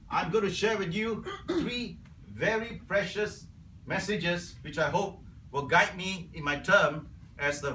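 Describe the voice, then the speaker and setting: A man whose first language is Cantonese gives an earnest prepared speech to his club as its incoming membership officer, often clearing his throat. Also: fairly high pitch, bright, forceful tone, a little hiss or hum. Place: club meeting room. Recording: echoey room, from far off.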